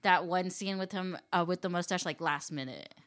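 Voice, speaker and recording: A woman's voice: lowish voice, moderate pace. A woman guest on a casual podcast, chatting about movies with sighs and laughs. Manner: relaxed, fond of sighs and laughs. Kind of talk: casual chat.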